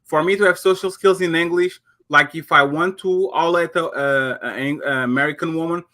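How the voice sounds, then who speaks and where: Bright-voiced man, Portuguese native. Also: fairly high pitch, moderate pace. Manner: interrupts, repeats words when pushing back. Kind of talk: heated casual debate.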